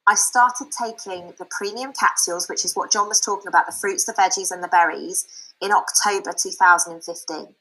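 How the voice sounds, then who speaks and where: A woman giving a frank, chatty personal testimony that turns into a sales pitch, with many fillers and restarts. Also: fairly even intonation, a little fast, bright, forceful tone, low-quality recording. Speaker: speaker sharing a product testimonial.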